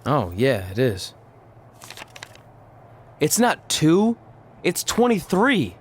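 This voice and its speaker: A man's voice: a little high, huge pitch swings, very slow, slight background noise, exaggerated voice. A man playing a cocky but sheepish young wrestler in casual video game cutscene dialogue. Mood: dry.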